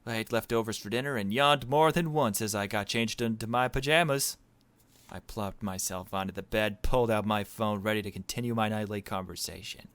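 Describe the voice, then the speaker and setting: A man's voice: lowish voice, fairly quick, fairly bright tone, clean tone, no room sound. A man hosting a gaming video, reading visual novel narration aloud and adding his own commentary.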